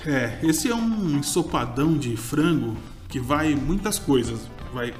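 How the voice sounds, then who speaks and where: A man, chatting casually as a food show co-host. His voice sits a little high, and he often trails off hunting for a name. Soft music plays faintly underneath. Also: animated, unhurried. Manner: chatty, gropes for names, laughs easily. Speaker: podcast co-host, food reviewer. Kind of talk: casual conversational chat.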